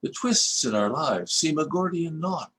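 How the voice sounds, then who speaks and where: An older man in his seventies reading his own poems aloud, with measured, expressive recitation. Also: a little high, a little hiss or hum. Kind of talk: poetry reading at an event.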